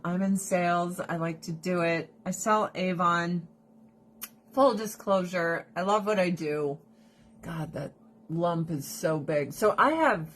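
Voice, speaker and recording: Blunt, laughing woman live streaming. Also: on the low side. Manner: blunt, profane, animated, laughing often. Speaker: direct sales rep live streaming. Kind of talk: casual live stream chat to viewers. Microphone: phone or camera held close.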